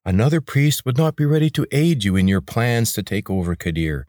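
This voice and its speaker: Narrator doing a measured, wary temple priest, close to his own voice. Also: medium pitch, no echo, pitched above the performer's own voice, close to an everyday voice. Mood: calm. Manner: calm, measured. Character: scheming male temple priest, calm and wary.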